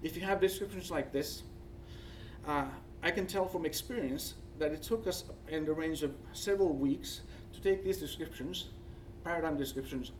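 A man with a Finnish accent gives an academic presentation, speaking haltingly and searching for words. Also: a little high. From Finland. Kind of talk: conference presentation with slides. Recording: distant mic, faint background noise.

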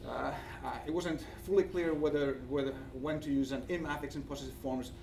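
Halting Finnish-accented man presenting, slightly distant. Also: on the high side, steady tone, creaky, a little mellow. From Finland. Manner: halting, searching for words. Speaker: academic researcher presenting. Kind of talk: conference presentation with slides. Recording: distant mic, faint background noise.

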